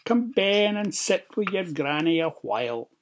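Storyteller doing a wolf faking an old scottish granny, high falsetto, sickly sweet n sly, storybook. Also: big pitch swings, measured pace, a little hiss or hum. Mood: sugary, sly. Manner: sugary, beckoning. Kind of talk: storybook character voice.

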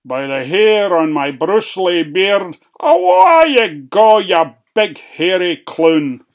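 A reader with a Scottish accent voicing an excitable young male piglet in a storybook, with a sing-song, rhyming way of talking. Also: medium pitch, takes it slowly, creaky, breathy edge, lo-fi sound, pitched above the performer's own voice, heavily acted. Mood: defiant.

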